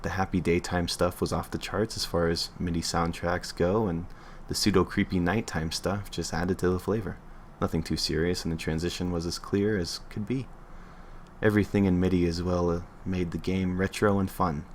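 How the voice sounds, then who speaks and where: A man's voice: low voice, steady tone, normal speed, slight background noise. A man reading a creepy internet story aloud on a livestream in an offhand way, stumbling and correcting himself as he goes.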